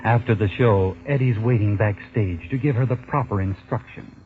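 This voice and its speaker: An actor playing a wry, knowing American narrator of a 1940s radio mystery, sardonically addressing the characters. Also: male voice, on the low side, normal speed, close to an everyday voice. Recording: muffled 1940s radio recording. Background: music.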